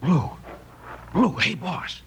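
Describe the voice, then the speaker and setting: An eager American outlaw henchman in an old radio western. He sounds nervy, with lively pitch, over clear hiss and clicks. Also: drawn-out words, exaggerated voice. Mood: hushed urgency.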